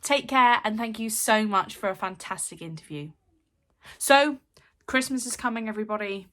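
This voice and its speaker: A woman's voice: mid-range voice, animated, moderate pace, fairly bright tone. A woman speaking as a show host, addressing her audience directly. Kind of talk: presenter's sign-off to audience.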